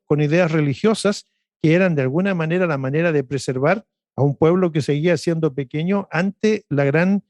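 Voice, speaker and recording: A man on a radio panel, explaining history and faith in a measured, professorial way. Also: on the high side, a little mellow, no room sound. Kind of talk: lecturing faith discussion.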